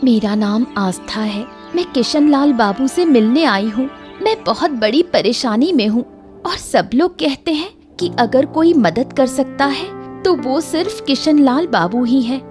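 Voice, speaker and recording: A woman's voice: high voice, expressive, a little slow, darkish tone, soft background music, pitched above the performer's own voice. A woman voicing a distressed young village woman pleading for help in a moral story.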